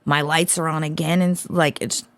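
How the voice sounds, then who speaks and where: Low-pitched, blunt woman podcaster. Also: moderate pace, dry recording. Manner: blunt, swears freely, animated storytelling. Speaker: podcast co-host. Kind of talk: casual chat.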